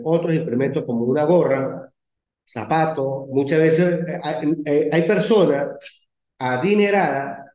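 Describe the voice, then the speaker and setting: A middle-aged Venezuelan man leading an online class discussion as professor, speaking in a measured, hesitant way with many fillers. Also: a little high, darkish tone. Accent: Venezuelan Spanish. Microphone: video call. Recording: echoey lo-fi video call audio.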